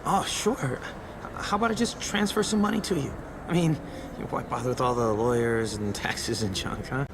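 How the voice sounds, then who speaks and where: An actor playing a nervous young street hustler and informant in a video game, slangy and prone to stammering. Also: male voice, medium pitch, moderate pace, natural voice. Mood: flustered. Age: young adult. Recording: clear background noise, vehicle ambience.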